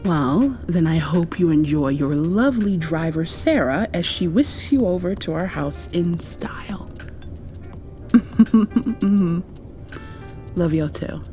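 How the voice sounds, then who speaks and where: A woman doing an ASMR roleplay, drifting between whispers and soft speech in an affectionate, playfully scolding way. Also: lowish voice, takes it slowly, pauses often, faint music behind.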